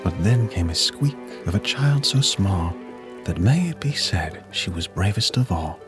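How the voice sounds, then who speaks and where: A man storyteller reading a rhyming Christmas poem in a solemn, measured way. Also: low-pitched, animated. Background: music.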